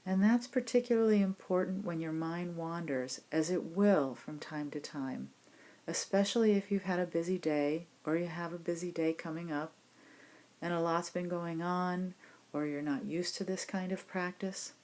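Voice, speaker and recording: Low-pitched woman guiding a meditation. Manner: gentle, unhurried, reassuring instruction. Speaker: meditation teacher leading a class program. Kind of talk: guided body scan meditation instruction.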